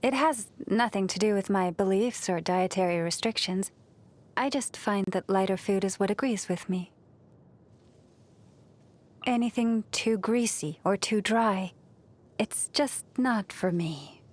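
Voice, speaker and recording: An actress voicing a gentle, devout young moon priestess in a video game, speaking softly and reflectively with a breathy fall at the ends of phrases. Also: female voice, medium pitch, a little slow, close to an everyday voice. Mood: mild, grateful. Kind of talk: scripted video game character voice lines.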